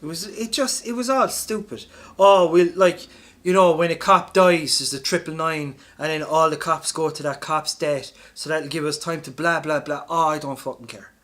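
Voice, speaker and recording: A man's voice: a little high, normal speed, fairly bright tone. An Irish man chatting casually as a podcast co-host, blunt and sweary, telling anecdotes. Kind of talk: casual chat, film review.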